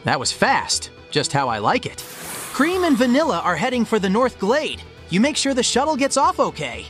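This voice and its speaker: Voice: medium pitch, wildly swooping pitch, moderate pace, fairly bright tone, soft background music. A performer voicing a cocky but caring young hedgehog hero in a comic dub, casual, slangy and confident.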